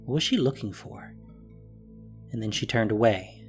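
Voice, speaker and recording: A narrator reading a scripted fantasy audio drama in an expressive, deliberate storytelling manner. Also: mid-range voice, faint music behind.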